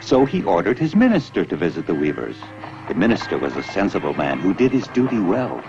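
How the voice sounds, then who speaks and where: Animated, low-voiced male storyteller. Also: a little fast, highs cut off, music in the background. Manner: expressive storytelling. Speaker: narrator. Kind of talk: scripted fairy tale narration.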